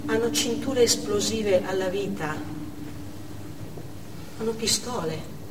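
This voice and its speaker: An actress playing a shaken survivor of a hostage siege, delivering a deliberate, dramatic stage monologue. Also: female voice, mid-range voice, natural voice. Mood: tense, dread. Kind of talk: theatrical monologue, first-person narration on stage. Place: theatre stage, distant microphone. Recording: echoey theatre hall from far off, noisy.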